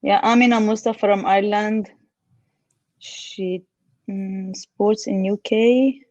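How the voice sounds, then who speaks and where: A woman's voice: medium pitch, fairly even intonation. A woman co-hosting an online workshop over a video call, reading out chat messages and greeting participants. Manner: warm greetings, unhurried. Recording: muffled video call audio.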